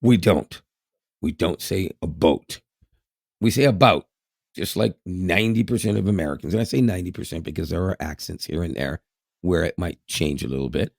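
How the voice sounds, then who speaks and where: A hoarse man from Canada, co-hosting a casual podcast in a rambling way, with restarts and chuckles. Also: sits low, moderate pace, darkish tone, dry recording, clean studio sound. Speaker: podcast co-host.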